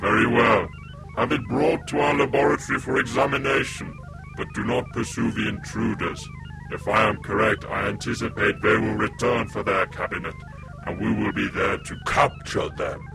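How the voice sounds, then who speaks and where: A man voicing a cold, commanding Cyberman leader who gives flat, clipped orders in an audio drama. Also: fairly low pitch, normal speed, faint music behind. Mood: scheming. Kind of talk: fan audio drama acting.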